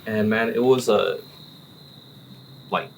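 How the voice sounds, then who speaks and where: A man hosting a casual cigar podcast, telling a story in an animated way and peppering his talk with "like" and "right?". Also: on the low side, takes it slowly, vocal fry, a little hiss or hum. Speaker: podcast host. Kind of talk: casual chat. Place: relaxed cigar-smoking hangout with friends.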